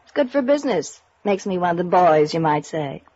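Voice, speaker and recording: A woman's voice: on the low side, sing-song, a little fast, darkish tone. An actress playing a breezy, slangy, forward female insurance agent in a 1950s radio noir. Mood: breezy, flirty. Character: brisk, flirty, slangy businesswoman insurance agent. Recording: dull vintage radio recording.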